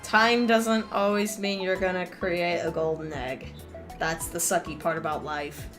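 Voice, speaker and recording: A woman's voice: on the low side, steady tone, unhurried. Wry woman streamer with music behind. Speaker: streamer, host. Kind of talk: casual live game commentary, reading cutscene text aloud.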